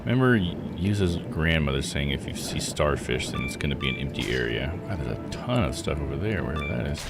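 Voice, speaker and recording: Low-pitched, gravelly man commentating a game. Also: big pitch swings, brisk. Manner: muttering to himself, slangy with mild swearing. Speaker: game streamer. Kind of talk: casual live gameplay commentary. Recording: loud game music behind the voice. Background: video game music.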